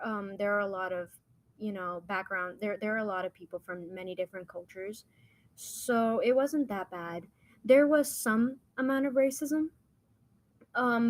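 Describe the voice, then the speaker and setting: A young woman whose first language is Hindi, from India and the United States, telling personal stories in a casual, rambling, informal way as a podcast guest. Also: medium pitch.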